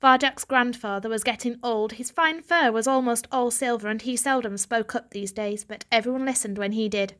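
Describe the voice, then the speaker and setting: A woman narrating a children's story in an expressive, dramatic reading. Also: on the high side, moderate pace, few pauses. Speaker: audiobook reader.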